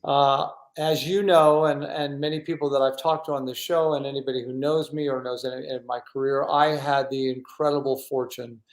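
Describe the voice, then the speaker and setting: A man hosting a casual talk show, speaking in an earnest, effusive and halting way in an echoey room. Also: medium pitch, level tone. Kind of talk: casual interview chat. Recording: roomy, echoing sound.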